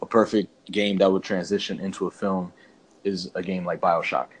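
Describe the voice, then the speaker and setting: A man's voice: sits low, flat delivery, moderate pace, slight background noise, rough recording. A man co-hosting a podcast, chatting casually and giving opinions in an informal, rambling, slangy way. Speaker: podcast co-host. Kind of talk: casual opinionated chat about games and films.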